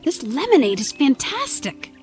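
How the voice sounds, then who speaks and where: Woman acting plucky, high-voiced, wisecracking young companion. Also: big pitch swings, normal speed, darkish tone, soft background music. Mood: light, playful. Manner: wry, playful, quippy. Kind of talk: scripted audio drama acting.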